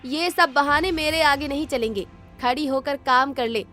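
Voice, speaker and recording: Woman voicing a harsh, high-pitched cruel stepmother. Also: normal speed, soft background music. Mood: angry scolding. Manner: harsh, taunting, loud. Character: cruel, scolding, sarcastic stepmother. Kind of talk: animated moral story acting.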